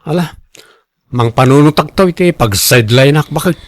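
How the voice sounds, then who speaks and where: A man's voice: fairly high pitch, normal speed, no echo, clean studio sound, natural voice. A man acting a proud, defensive husband and father in a radio drama, speaking with an Ilocano Filipino accent. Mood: grumbling, defensive.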